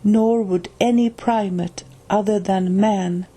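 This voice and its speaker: A woman with a Finnish accent reads a book aloud at an unhurried, deliberate pace, her voice soft and a little breathy. She goes word by word, now and then stumbling and starting a phrase over, and her tone stays mellow and low-key throughout. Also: steady tone. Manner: careful, halting reading with restarts on hard words. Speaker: reader of a spiritual book.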